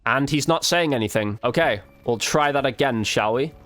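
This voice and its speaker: A man's voice: mid-range voice, normal speed, a bit bright. Casual man doing gameplay commentary. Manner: informal, slangy, thinking aloud. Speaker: gaming video creator.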